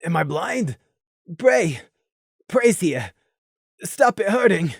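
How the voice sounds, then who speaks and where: A woman voicing a badly wounded male pony who murmurs faintly in halting, breathless fragments. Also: fairly low pitch, big pitch swings, no room sound, studio quality, full character voice. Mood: faint pained. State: weak, struggling to breathe.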